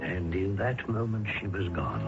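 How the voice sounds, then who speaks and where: An actor playing an older English colleague who narrates the story in a measured, soothing way. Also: male voice, deep voice, lively intonation. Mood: calm, somber. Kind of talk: storytelling narration in radio drama. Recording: old radio broadcast, phone-like band with music.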